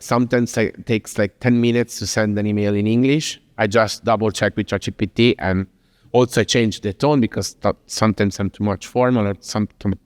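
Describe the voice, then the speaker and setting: Man, Italian accent, non-native English, chatty presentation, lots of fillers, long hall reverb. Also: on the low side. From Italy. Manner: chatty, with frequent fillers and restarts. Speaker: speaker at a business talk. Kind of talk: informal presentation to an audience. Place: venue in Lisbon.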